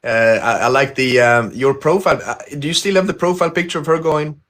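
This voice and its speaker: A man's voice: mid-range voice, fairly even intonation, brisk, fairly bright tone. Easygoing male podcast host. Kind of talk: casual interview chat.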